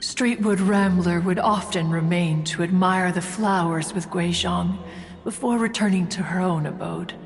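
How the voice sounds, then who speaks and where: A woman's voice: fairly low pitch, measured pace, slightly rough, soft background music. A woman playing a proud, mournful, ancient immortal in a video game, telling stories in formal, archaic, stately speech. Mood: wistful, solemn. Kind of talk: scripted game storytelling.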